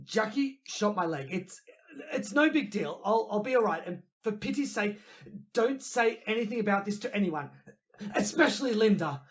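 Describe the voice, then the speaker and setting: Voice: medium pitch, lively intonation, normal speed, dull audio, lightly acted. A performer in an audio play acting an exasperated, curt young man with a terse, commanding manner. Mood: pained, urgent.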